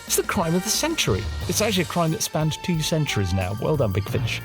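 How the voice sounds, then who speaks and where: A man's voice: fairly high pitch, sing-song, quick pace. A man speaking wryly in declarative statements in a documentary interview. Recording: music playing behind the voice.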